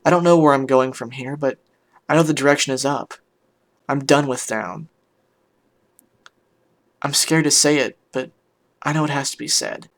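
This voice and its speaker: A man voicing a heartbroken, weary pony musician in a hushed, halting, emotional first-person story reading. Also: mid-range voice, lightly acted. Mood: tender, resolute. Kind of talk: dramatic first-person fan fiction reading.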